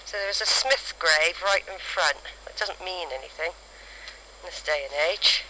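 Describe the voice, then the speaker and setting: A woman recording halting, spontaneous field notes outdoors on a cassette recorder, describing things piece by piece. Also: fairly low pitch, bright, forceful tone. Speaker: amateur family historian recording an audio diary. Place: outdoors in a cemetery. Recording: faintly noisy amateur cassette recording.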